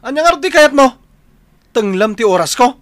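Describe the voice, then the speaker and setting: A lazy, boozy Ilocano husband in a radio comedy drama. His pitch jumps all over in an exaggerated, whiny, cocky delivery with an Ilocano accent. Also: lively intonation, moderate pace, fairly bright tone. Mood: sulky excuses. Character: lazy, hard-drinking husband, whining and cocky.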